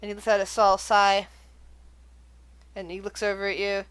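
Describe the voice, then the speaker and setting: A woman narrating a tabletop game as game master, searching for words with many pauses and fillers. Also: mid-range voice, little pitch movement, fairly bright tone, smooth. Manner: hesitant, many fillers and restarts.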